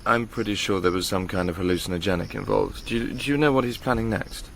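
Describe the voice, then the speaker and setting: A man's voice: lowish voice, fast talker, creaky, fairly bright tone, a little hiss or hum. An actor playing an educated young Englishman, wry and questioning, in naturalistic radio drama dialogue. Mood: curious.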